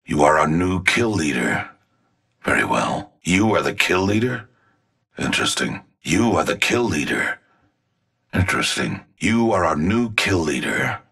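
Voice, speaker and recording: A pompous, cold male scientist villain from a video game. He speaks with measured disdain in a very deep, raspy voice. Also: big pitch swings, pushed and bright, exaggerated voice. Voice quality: gravelly, deep.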